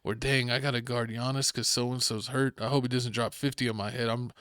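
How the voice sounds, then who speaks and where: A man's voice: medium pitch, flat delivery, fairly quick, a bit bright, clear, resonant tone, dry recording, clean studio sound. A Texan man hosting a podcast, chatting casually and rambling about video games, sometimes acting out game scenarios. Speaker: podcast host. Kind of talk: casual sports chat.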